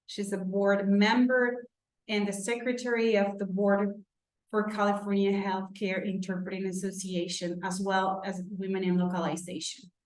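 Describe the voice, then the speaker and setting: A woman hosting a virtual event, reading out introductions in a slightly halting way, correcting herself as she goes. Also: mid-range voice. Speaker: event host introducing panelists. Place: indoor room on a virtual event call. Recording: roomy, echoey video call audio.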